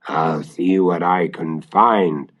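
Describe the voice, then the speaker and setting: A man voicing a horrid, sly old witch in a storybook tale, with a grating, slow, drawn-out voice. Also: sits low, a bit bright, full character voice. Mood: sly, falsely sweet. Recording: dull vintage vinyl record transfer.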